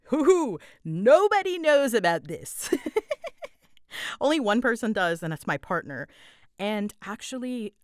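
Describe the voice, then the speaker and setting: A woman's voice: fairly high pitch, big pitch swings, takes it slowly, smooth, no echo, studio quality. A woman chatting casually and animatedly as she answers listener questions, with a giggly, self-deprecating manner. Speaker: hobbyist audio roleplay creator answering listener questions.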